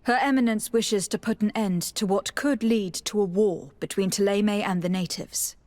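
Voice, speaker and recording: A woman's voice: medium pitch, normal speed, a little mellow, lightly acted. A woman playing an assertive, composed noblewoman diplomat in a video game, speaking formally and directly. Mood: formal, resolute. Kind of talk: video game dialogue acting. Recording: music behind the voice.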